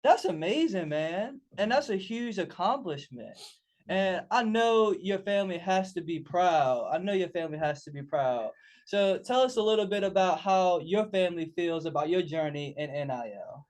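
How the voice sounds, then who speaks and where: A man's voice: high voice, animated, moderate pace, darkish tone. A man hosting a sports radio talk show who interviews and hypes up his guest in an upbeat way.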